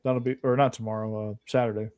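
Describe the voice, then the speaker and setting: A man's voice: fairly low pitch, flat delivery, quick pace, darkish tone, clear, resonant tone. A man from the American South co-hosting a casual sports podcast, easygoing and joking. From Southern United States. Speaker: podcast co-host.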